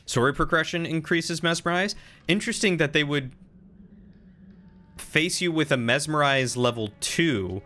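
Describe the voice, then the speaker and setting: A man's voice: on the high side, normal speed, sharp tone, soft background music. A man in his thirties chatting casually with viewers while commenting on the video game he plays. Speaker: game streamer.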